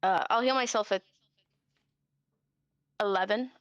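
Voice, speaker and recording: A woman's voice: medium pitch, a little slow, clear, resonant tone, no room sound. A woman playing a tabletop game, hesitantly thinking aloud in a casual way over slightly lo-fi voice chat.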